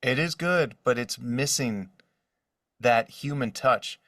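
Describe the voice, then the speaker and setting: A man talks casually as an interview guest, a working voice actor. His pitch is average and he sounds a little away from the microphone in a dry space. He is conversational and illustrates points with quoted asides. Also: takes it slowly, fairly bright tone, dry recording, far from the mic.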